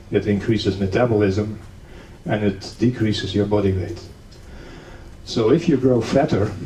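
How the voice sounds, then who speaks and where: Low, mellow-voiced Dutch-born lecturer. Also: male voice. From Netherlands. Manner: explanatory, dry humor, steady lecturing with fillers. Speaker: professor giving a conference lecture. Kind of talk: academic lecture. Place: conference hall. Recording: echoey hall, distant mic, faint noise.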